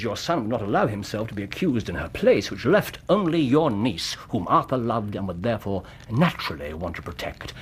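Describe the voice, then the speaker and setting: An English actor in a radio drama playing a brilliant, self-assured detective who lays out deductions in precise, theatrical storytelling. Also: male voice, on the low side, slight background noise. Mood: triumphant, probing.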